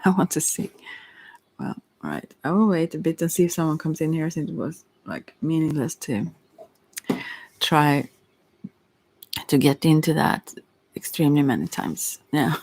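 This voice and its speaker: A woman speaking softly, at times in a whisper, in a relaxed, meandering ASMR tarot ramble with hesitant asides. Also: sits low, moderate pace, pauses often, husky, low-quality recording. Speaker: host, tarot reader. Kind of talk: soft-spoken rambling ASMR tarot chat.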